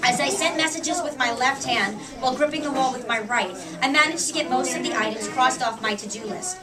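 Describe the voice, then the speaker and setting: A woman's voice: high voice, a little fast, crowd noise. An American woman reading her own humorous personal essay aloud at a live book event, picked up from a distance. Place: public book reading in a shop. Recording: distant mic in a noisy crowded room.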